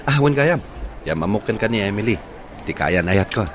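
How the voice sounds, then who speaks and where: A man's voice: on the low side, animated, normal speed, a little hiss or hum, lightly acted. A man playing an easygoing, placating grown son and boyfriend in a radio drama, with a Filipino accent.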